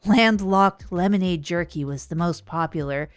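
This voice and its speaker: A woman's voice: lowish voice, normal speed, no room sound, close to an everyday voice. Woman playing a chatty, rambling show host over music. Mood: chatty, wry. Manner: conversational, digressive, self-deprecating. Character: chatty, rambling radio show host chronicling self-improvement attempts. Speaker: host and narrator. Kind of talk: improvised comedy audio drama narration.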